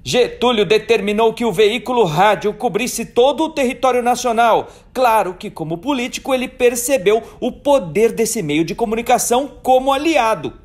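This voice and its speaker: A presenter narrating history informally, with chatty, emphatic asides to the viewer. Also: medium pitch. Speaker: video presenter.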